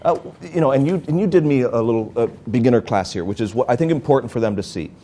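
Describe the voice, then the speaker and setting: A man's voice: medium pitch, animated, fast, warm tone, a little hiss or hum. A man hosting a casual interview with a magician, talking in rambling restarts and asking questions.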